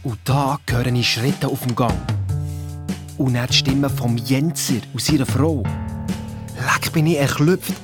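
An actor playing an excitable young boy and amateur detective with a Swiss German accent, telling his story colloquially in a children's radio play. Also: mid-range voice, a little slow, natural voice. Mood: excited, tense. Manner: colloquial, eager, defensive when challenged. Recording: music behind the voice.

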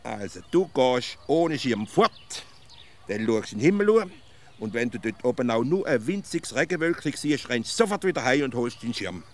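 An actor playing a self-important provincial everyday philosopher in a comic radio play, pedantic and folksy, with a Swiss accent. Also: male voice, mid-range voice, very animated, normal speed, close to an everyday voice. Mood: confident advising. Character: cocksure provincial male everyday philosopher giving absurd advice.

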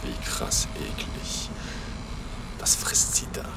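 A man's voice: slow pace, heavy background noise, natural voice. A young man playing a plucky, easygoing deckhand in an audio drama, with a casual, slangy delivery. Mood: amazed. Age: young adult.

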